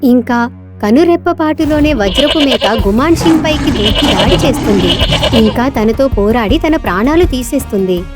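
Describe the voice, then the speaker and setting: A woman's voice: sits high, animated, moderate pace, loud music behind the voice. A woman reading scripted children's story narration as the storyteller.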